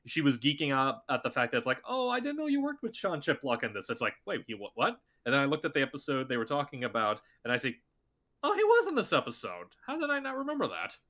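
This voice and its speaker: Bright-voiced chatty young man. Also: fast. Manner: chatty, excitable, acts out quotes in a higher voice. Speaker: voice actor guest. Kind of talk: casual podcast chat.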